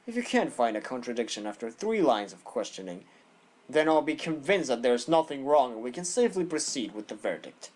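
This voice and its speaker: Man as a haughty, very expressive prosecutor. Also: medium pitch. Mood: challenging. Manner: formal, haughty, deliberate. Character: stern, condescending male animal prosecutor. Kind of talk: audio drama acting.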